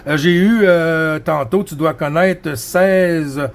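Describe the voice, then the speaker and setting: A man's voice: on the high side, slow, vocal fry. A man with a Quebec French accent chatting casually as a CB radio operator, friendly and rambling. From Quebec, Canada. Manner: friendly, rambling, frequent Quebec filler words.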